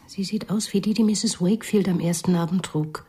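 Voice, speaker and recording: An actress playing an anxious, suspicious wife in a radio drama, husky and whispery, with a hesitant, questioning manner. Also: female voice, medium pitch, a little fast, mellow, natural voice. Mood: curious, suspicious. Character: anxious, probing wife, soft-spoken and suspicious.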